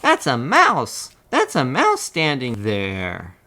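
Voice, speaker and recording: A man's voice: medium pitch, huge pitch swings, slow pace, sharp tone, exaggerated voice. A man voicing a big, apologetic, easily frightened elephant in a children's storybook. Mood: panicked.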